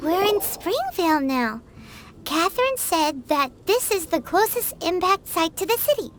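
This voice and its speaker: A woman's voice: very high voice, sing-song, measured pace, slight background noise, exaggerated voice. A woman voicing a tiny, chatty female fairy companion who speaks of herself in the third person. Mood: excitable. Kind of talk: video game voice acting.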